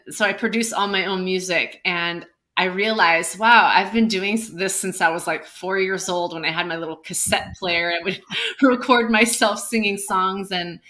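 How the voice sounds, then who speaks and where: A woman musician from California talking in a gentle, earnest way over a video call, laughing easily. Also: on the low side. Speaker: musician guest. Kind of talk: singing then casual chat. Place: home, at a window with trees outside.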